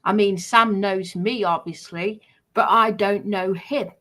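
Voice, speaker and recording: A woman's voice: medium pitch, fairly even intonation, measured pace, a bit bright. A British woman streamer talking casually and unscripted, in a defensive, insistent manner. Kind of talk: casual unscripted livestream talk.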